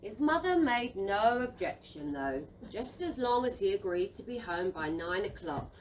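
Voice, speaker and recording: A woman's voice: medium pitch, sing-song, a little slow. A woman narrating a children's storybook audio play. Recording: distant mic with background noise.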